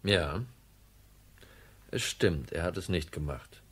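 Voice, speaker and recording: An actor playing a cool, shrewd insurance detective in a radio crime drama, matter-of-fact and in control. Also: male voice, low voice, takes it slowly, fairly bright tone, breathy edge, full character voice. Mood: calm, explaining.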